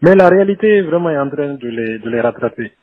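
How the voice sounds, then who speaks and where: A man giving an informal briefing over the telephone, halting, repeating words and agreeing deferentially. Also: on the high side, lively intonation, no room sound. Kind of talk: informal briefing in a phone call. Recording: dull lo-fi phone-call recording.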